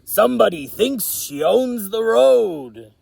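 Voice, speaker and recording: A woman voicing a bossy farmyard toad in a storybook, loud and urging. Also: a little high, lively intonation, slow, gravelly, slightly rough, dry recording, voice pushed up, exaggerated voice.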